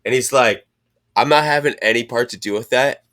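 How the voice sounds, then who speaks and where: A man in his thirties hosting a podcast, talking loudly and excitedly, with swearing and hype-heavy sponsor reads. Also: fairly quick. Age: 30s. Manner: loud, hyped, swearing, pitching sponsors. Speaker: podcast host. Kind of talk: casual chat.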